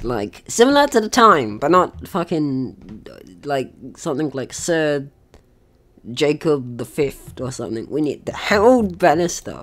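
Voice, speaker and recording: A young adult gaming host giving casual let's play commentary in an excitable, sweary, rambling manner. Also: medium pitch.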